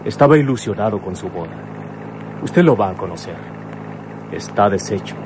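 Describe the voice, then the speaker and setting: Actor as a sober, low-voiced young man. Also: expressive, moderate pace, natural voice. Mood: explaining, defensive. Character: sober, courteous adult son of a police commissioner. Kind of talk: radionovela acting. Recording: faint vehicle noise behind.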